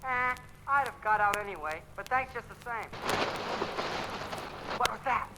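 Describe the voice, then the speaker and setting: A man's voice: sits high, lively intonation, measured pace, heavy background noise. A tiny carefree boy. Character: tiny mischievous boy hero.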